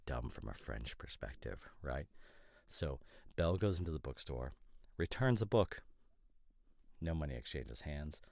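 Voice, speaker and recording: A nitpicking boyfriend from an audio drama, acted by a man, speaks in an extremely deep voice. He argues casually and pedantically, with exasperated, halting asides. The sound is dry and close. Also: vocal fry, darkish tone, no room sound, exaggerated voice. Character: opinionated, nitpicking boyfriend, a professional costume designer.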